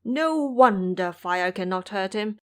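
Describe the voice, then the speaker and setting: A woman's voice: medium pitch, expressive, slow, clear, resonant tone. A woman voicing frightened male temple monks, crying as they speak, in an audio drama. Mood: distraught.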